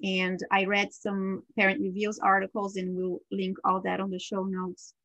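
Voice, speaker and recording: A woman whose first language is Portuguese, co-hosting a podcast in casual chat, talking freely with many fillers and quick laughs. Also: mid-range voice, monotone, a bit bright, dry recording, muffled recording. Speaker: podcast co-host.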